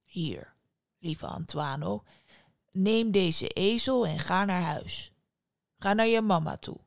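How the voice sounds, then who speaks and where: A woman voicing a big, gentle male giant in a storybook, speaking slowly and solemnly with care. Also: fairly low pitch, creaky, no room sound, clean studio sound. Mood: tender. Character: kindly old-fashioned giant, big gentle male guardian.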